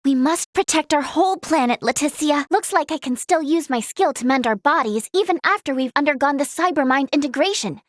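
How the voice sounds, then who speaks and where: Young female game healer, high pitch, earnest, polite, kind-hearted, softly hesitant, dry studio sound. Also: expressive, brisk, few pauses, no echo. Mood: earnest, determined. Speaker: video game voice actress. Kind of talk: scripted game character dialogue.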